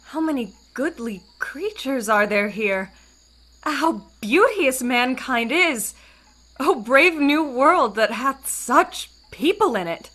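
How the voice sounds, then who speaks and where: An actress plays an innocent, ardent girl on the edge of womanhood. Her high, bright voice moves slowly through Shakespearean radio verse. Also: lively intonation, exaggerated voice. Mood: wonderstruck. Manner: ardent, wide-eyed. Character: innocent, wonderstruck young maiden in love. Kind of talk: Shakespearean radio play acting.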